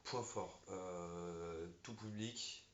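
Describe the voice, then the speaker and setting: A man with a low voice talks as co-host of an informal review vlog, in short, unscripted bursts. The recording is echoey and slightly dull, with faint background noise. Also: drawn-out words. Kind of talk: casual, unscripted review chat.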